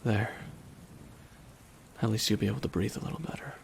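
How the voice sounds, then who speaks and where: Brief: male actor as wry, softened villain for ASMR roleplay; gravelly, hushed, lingering pauses, rain behind. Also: fairly low pitch, a little hiss or hum. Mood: somber. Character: reflective male supervillain, wry, quietly softened toward his nemesis. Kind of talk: ASMR dramatic roleplay monologue.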